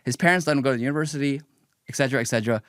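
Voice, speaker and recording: A man's voice: medium pitch, very fast, fairly bright tone, dry recording, clean studio sound. A young man streaming a mocking, combative political rant, talking emphatically as a show host. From raised in the United States. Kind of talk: livestream reaction rant.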